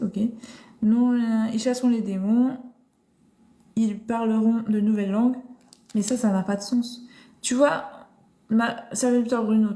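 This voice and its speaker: A woman's voice: medium pitch, mellow. Woman musing aloud, roomy phone live. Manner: thinks aloud with many restarts and fillers. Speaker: live stream host. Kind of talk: casual live-stream Bible discussion. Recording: roomy echo.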